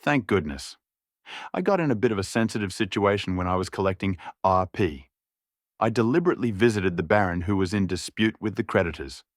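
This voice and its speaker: Deep-voiced man narrating an audiobook. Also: brisk, no room sound, clean studio sound. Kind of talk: scripted story narration.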